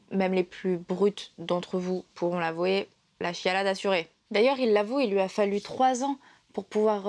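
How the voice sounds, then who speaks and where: A woman's voice: mid-range voice, darkish tone. Woman, casual vlog talk, lots of fillers and restarts, emotional, playful. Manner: hesitant, many fillers and restarts; playful and emotional, laughs often. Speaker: literature video presenter.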